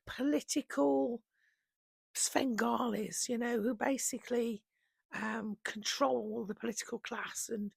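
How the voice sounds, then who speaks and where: A woman in her fifties, hoarse from losing her voice, chatting casually and rambling about books on her vlog into a microphone on a holder. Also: fairly high pitch, no room sound. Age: 50s. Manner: chatty, rambling, warm fond asides. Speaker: book vlogger.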